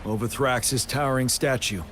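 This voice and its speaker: An actor playing a composed, dutiful young general in a video game, speaking in a measured, formal and grave way. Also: male voice, medium pitch, steady tone, a bit bright, a little hiss or hum, close to an everyday voice. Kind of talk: English game voice acting, measured formal dialogue.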